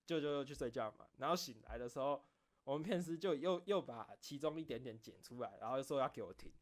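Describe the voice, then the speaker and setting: A man's voice: mid-range voice, animated, quick pace. A man telling personal stories in a casual streamer's chat, rambling and often repeating phrases for emphasis. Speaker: online streamer and game content creator. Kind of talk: casual late-night chat, personal storytelling.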